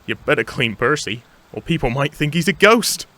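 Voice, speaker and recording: A man's voice: on the high side, lively intonation, moderate pace, pushed and bright, pitched above the performer's own voice. A man voicing an amused, helpful railway signalman with a hearty manner in a children's story. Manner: hearty, chuckling.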